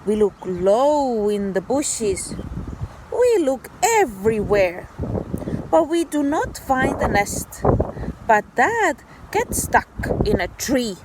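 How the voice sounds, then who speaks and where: A woman teacher reading a story aloud to young children in an animated, deliberate, child-directed way. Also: a little high, noisy background. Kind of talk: expressive children's read-aloud.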